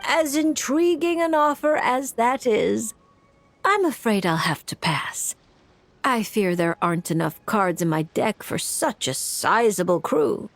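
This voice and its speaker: A woman voicing a composed, gently teasing fortune teller in a video game, with a poised, musing manner. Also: fairly high pitch, expressive, measured pace. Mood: amused, polite. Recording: music bed under the voice.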